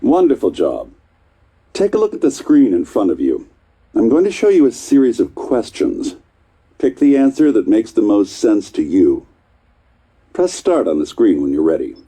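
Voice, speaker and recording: Husky, dark-voiced, distracted male scientist. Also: lowish voice, huge pitch swings, normal speed, exaggerated voice. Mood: breezy, encouraging. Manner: patronising, encouraging, hesitant asides. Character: chatty, distracted male scientist running tests on a subject. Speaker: test supervisor. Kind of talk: game voice acting.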